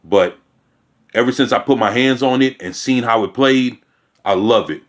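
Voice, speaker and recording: A man's voice: mid-range voice, moderate pace. Blunt, profane man ranting casually. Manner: blunt and profane, with frequent restarts. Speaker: video commentator. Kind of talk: casual reaction rant reading news aloud.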